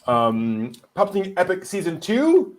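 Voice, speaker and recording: A man's voice: high-pitched, huge pitch swings, takes it slowly. A man hosting a casual news rundown with co-hosts, talking in a hesitant, conversational way. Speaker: host presenting anime news.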